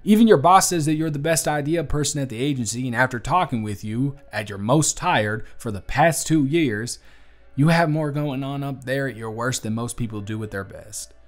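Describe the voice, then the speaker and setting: A man's voice: medium pitch, moderate pace, lightly acted. Man acting an earnest Southern bartender over music. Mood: earnest, admiring. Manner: earnest, conversational, sweet-talking. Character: earnest, blunt, charming young male bartender in love. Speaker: audio roleplay performer. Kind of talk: intimate audio roleplay acting. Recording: music playing under the voice. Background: acoustic music.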